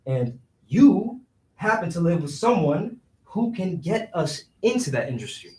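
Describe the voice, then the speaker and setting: Guy acting as a broke streetwise schemer, high voice, slangy and pushy, stage play reading, echoey and far from the mic. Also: sing-song, dark tone. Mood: insistent, persuasive. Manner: slangy, fast-talking persuader with streetwise phrasing. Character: scheming, cash-strapped young city man, streetwise persuasive hustler. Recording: roomy echo, distant microphone.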